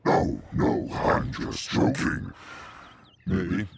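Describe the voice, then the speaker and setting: An actor voicing a huge, menacing dark dragon for a video game, haughty and theatrical, with a growling, roaring delivery. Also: male voice, extremely slow, a little hiss or hum. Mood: mocking, playful. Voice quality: deep, gravelly growling roar. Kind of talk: video game character voice lines.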